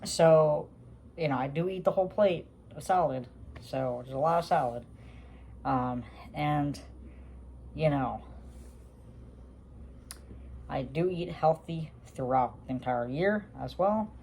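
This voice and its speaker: A man's voice: fairly high pitch, slow pace, long pauses, darkish tone, slight background noise. A young man talking casually to his viewers, rambling with many fillers and restarts. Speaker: vlogger. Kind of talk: casual talk to camera.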